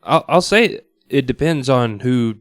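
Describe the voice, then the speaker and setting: A man speaking as a podcast guest in a studio, reasoning aloud in casual discussion and halting to rephrase himself. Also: mid-range voice, expressive, smooth, no echo.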